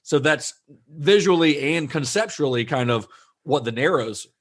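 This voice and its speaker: A man's voice: on the high side, normal speed. Chatty man on a film podcast. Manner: chatty, relaxed, with frequent "like" and restarts. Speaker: podcast co-host. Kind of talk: casual film discussion.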